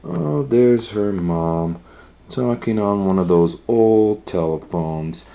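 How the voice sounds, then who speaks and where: A man's voice: lowish voice, slow, creaky, mellow. A man reading a picture book aloud to children, with folksy chatty asides and questions to listeners. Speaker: storytime reader and educator. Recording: roomy, echoing room sound.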